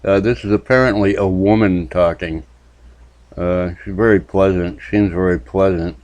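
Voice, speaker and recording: A man's voice: low voice, steady tone, normal speed, a little mellow, no echo. A man from New Hampshire hosting a casual reaction commentary channel, making dry, sardonic remarks. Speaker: YouTube commentary host.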